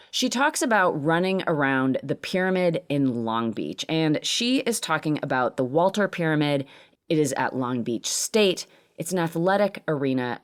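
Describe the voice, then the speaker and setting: A woman podcast host and producer reading a scripted introduction in an earnest, emphatic way. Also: a bass voice.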